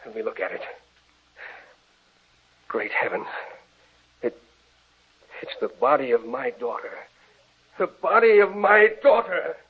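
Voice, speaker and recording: A man's voice: on the high side, big pitch swings, slow, pauses often, husky, exaggerated voice. An actor playing an earnest, grieving older father in a 1940s-style radio drama. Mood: horrified. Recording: tinny, narrow old radio recording.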